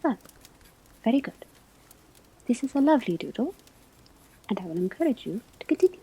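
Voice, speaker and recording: A woman performing an ASMR classroom roleplay as a calm, formal witch school teacher, speaking softly and often dropping into a whisper. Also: on the high side, poor audio. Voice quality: soft, breathy, often whispered. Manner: measured, formal, precise phrasing. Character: calm, kindly but strict witch school divination teacher.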